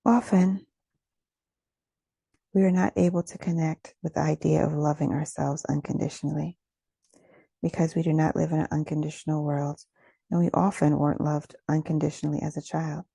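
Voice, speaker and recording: A woman leading a guided meditation in a gentle, soothing, unhurried manner, with a soft, breathy voice and pauses between phrases. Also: low voice, fairly even intonation, no echo.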